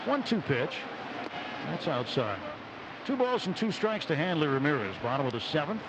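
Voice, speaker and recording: A man calling baseball play-by-play on the radio in a measured, descriptive way, pausing between short phrases. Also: a little high, big pitch swings, noisy background. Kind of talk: live radio sports commentary. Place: outdoor ballpark broadcast booth. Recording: dull radio broadcast, loud stadium ambience. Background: loud outdoor ballpark ambience.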